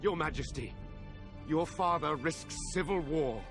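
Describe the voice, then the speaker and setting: A man's voice: a little high, a little slow, music in the background. A man playing a bold, principled nobleman in a video game, speaking in formal, pointed oratory. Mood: indignant.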